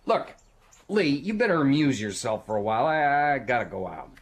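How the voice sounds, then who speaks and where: A man's voice: mid-range voice, very animated, normal speed. An actor playing a shifty, offhand middle-aged father in a radio drama. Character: older, shifty, breezy father of a young boy.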